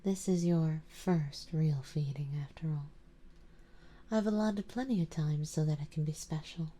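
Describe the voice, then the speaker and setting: A woman playing an elegant, doting, possessive vampire noble in an ASMR roleplay, in a soft, breathy, mostly whispered voice with a genteel, coaxing manner. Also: deep voice, unhurried. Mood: soothing, intimate.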